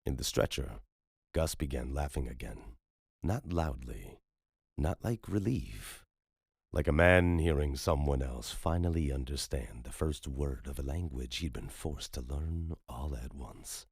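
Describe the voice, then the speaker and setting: A man's voice: extremely low pitch, expressive, unhurried, gravelly, husky, dry recording, clean studio sound. A man narrating scripted horror fiction as a storyteller.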